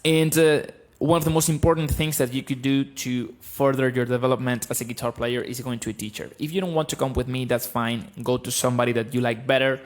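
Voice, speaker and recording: A man's voice: mid-range voice, fairly quick, hardly any pauses. Argentine man teaching guitar on stream. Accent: Argentine Spanish. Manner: blunt, animated, explaining. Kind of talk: live-streamed guitar lesson. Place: small room with bookshelves, indoors. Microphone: studio mic on stand, close.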